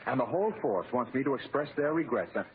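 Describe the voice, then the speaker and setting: A thin old radio recording with faint noise carries a man acting as a solemn coworker. He sounds earnest and halting, with expressive rises and falls as he sympathizes. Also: medium pitch, close to an everyday voice. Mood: solemn, sympathetic. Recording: tinny, faintly noisy old radio broadcast.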